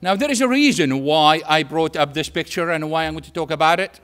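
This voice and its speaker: Male lecturer, Syrian Arabic accent, native Arabic speaker, slightly high pitch, emphatic storytelling delivery with deliberate pauses. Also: fairly bright tone. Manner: emphatic, repeats phrases, pauses for effect. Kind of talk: public lecture with anecdotes and humour.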